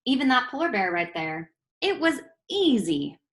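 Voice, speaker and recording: A woman's voice: fairly high pitch, big pitch swings, normal speed, a bit bright, reverberant, voice pushed up. A woman voicing a boastful young boy in a storybook, casual and self-assured. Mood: confident. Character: breezy, boastful young boy who builds things.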